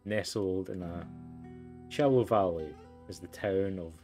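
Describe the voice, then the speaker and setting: Man reading as a low, formal storybook narrator. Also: unhurried, darkish tone, faint music behind. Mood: measured, solemn. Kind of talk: scripted narration.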